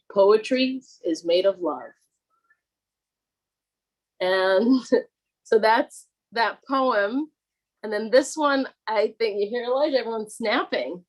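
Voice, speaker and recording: A mother on a video call reading her child's poems and gently coaxing the child to read at an open mic. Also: mid-range voice, low-quality recording. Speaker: poet's mother.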